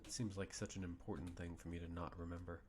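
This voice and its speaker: A man's voice: low-pitched, level tone, quick pace, a little mellow, slight background noise. A man in his thirties streaming a strategy game from a small room at home, muttering and thinking aloud as he plays. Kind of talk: casual gameplay commentary. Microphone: desk mic with webcam.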